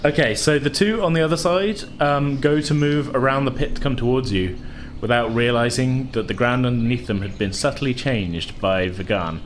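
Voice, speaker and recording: A man's voice: mid-range voice, normal speed, hardly any pauses, a little hiss or hum. A man casually narrating a tabletop game as game master, informal and sweary. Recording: music playing behind the voice. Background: loud music.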